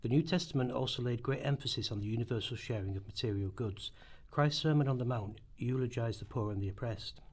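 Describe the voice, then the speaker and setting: A man's voice: medium pitch, brisk, darkish tone, slightly rough. A man reading scripted historical narration as the narrator of a radio play.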